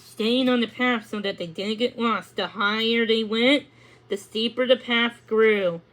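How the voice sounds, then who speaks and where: A woman's voice: fairly high pitch, steady tone, unhurried, a bit bright, rough recording. A woman reading a children's story aloud, now and then tripping over a word and going back to it. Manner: stumbles and rereads words. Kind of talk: read-aloud storybook narration with acted dialogue.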